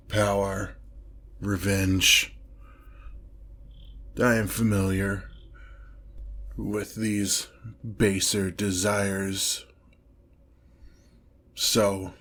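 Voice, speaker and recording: A man playing an imperious ancient demon lord in an ASMR fantasy roleplay, speaking in a pompous, theatrical, drawn-out manner, menacing and easily exasperated by mortals. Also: fairly low pitch, a bit bright. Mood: intrigued, imperious.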